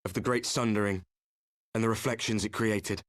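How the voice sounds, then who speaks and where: A man playing a grim, resolute fallen hero in a video game cutscene, speaking in a measured, solemn, theatrical way. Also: fairly low pitch, fairly even intonation, fairly bright tone, no echo, clean studio sound, lightly acted. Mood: stern, weary. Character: grim male warrior, fallen hero from a doomed world, resolute.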